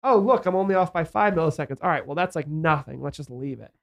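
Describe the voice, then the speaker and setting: A man's voice: on the high side, animated, fast talker, vocal fry. A man hosting a casual studio podcast, nasal, rambling with lots of fillers and acted-out quotes. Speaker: host.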